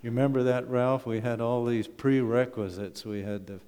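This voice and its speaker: A man's voice: medium pitch, steady tone, moderate pace, dark tone, clean tone. A middle-aged or older man gives a humorous, conversational talk to a church congregation, often posing rhetorical questions. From Canada. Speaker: wilderness school principal giving a presentation at church. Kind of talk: folksy public talk with slides. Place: church hall, talking to a congregation. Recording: echoey hall with long reverb.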